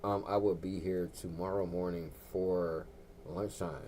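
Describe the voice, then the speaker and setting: A young adult man hosting a radio talk show, chatting in a relaxed, slangy way with drawn-out fillers. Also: deep voice, slight background noise. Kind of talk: casual laid-back show chat.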